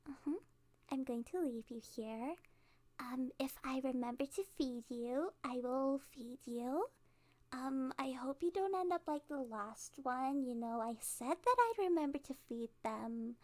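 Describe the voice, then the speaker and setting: A woman's voice: sits high, darkish tone. A woman playing a cute, awkward, cheerfully menacing kidnapper girl in a rambling, playful ASMR roleplay monologue. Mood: awkward, cheerful menace. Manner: rambling, halting, giggly, playful.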